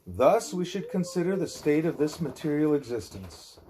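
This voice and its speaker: A man's voice: fairly high pitch, sing-song, normal speed, mellow, a little hiss or hum, poor audio. Man reading a spiritual discourse aloud. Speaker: audiobook reader.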